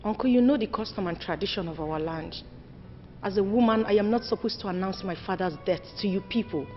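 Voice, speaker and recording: A woman's voice: very high voice, big pitch swings, a little fast, highs cut off, soft background music. A woman with a Nigerian accent playing an outspoken, indignant daughter who scolds her family with repeated questions in a film drama. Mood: aggrieved, pleading. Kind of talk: heated film drama acting.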